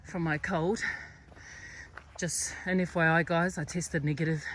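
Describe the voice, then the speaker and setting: A woman's voice: deep voice, steady tone, unhurried, slight background noise. A young woman vlogging on a hiking trail, chatty and out of breath, chesty from a cold, filming on her phone. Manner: out of breath, chatty, sighing while climbing. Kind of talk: casual hiking vlog. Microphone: phone held while walking.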